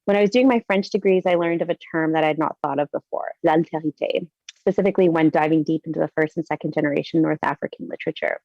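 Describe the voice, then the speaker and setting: A woman's voice: sits low, fast, warm tone, dry recording, highs cut off. A Canadian woman in her thirties giving an earnest, reflective personal presentation over a video call, with some fillers.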